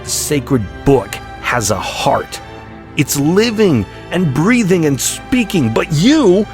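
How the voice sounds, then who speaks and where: A man's voice: mid-range voice, pitched above the performer's own voice, lightly acted. Man playing impassioned, defiant holy prophet. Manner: impassioned, scolding, preacherly. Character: defiant righteous male prophet, passionately rebuking the powerful. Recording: music behind the voice.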